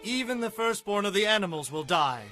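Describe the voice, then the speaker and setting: A righteous, unyielding prophet in a Bible audio drama. The voice is fairly high, bright and forceful, declaiming solemnly and defiantly over faint music. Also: male voice, lively intonation, fairly quick. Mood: stern warning.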